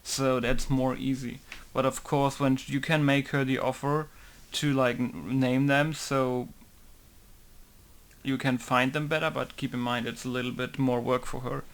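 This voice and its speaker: A man's voice: mid-range voice, level tone, a little slow, clean tone. A man who makes game mods, rambling through a casual tutorial and saying "basically" again and again. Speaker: game modder. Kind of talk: casual screen-recorded tutorial.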